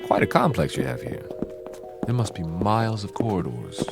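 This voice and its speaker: An actor playing an eager, awestruck Earth scientist in a radio drama, speaking earnestly with a hesitant stammer. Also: male voice, lowish voice, huge pitch swings, moderate pace, gravelly, loud music behind the voice, exaggerated voice. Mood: earnest, curious. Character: eager, awestruck male Earth scientist visiting another planet.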